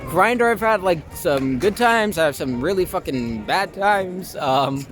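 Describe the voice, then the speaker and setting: Higher-pitched young American man chatting over music. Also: animated, a bit bright. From Long Island, New York, US. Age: young adult. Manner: halting, informal, heavy use of "like" and swearing. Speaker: interview guest. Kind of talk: casual candid chat.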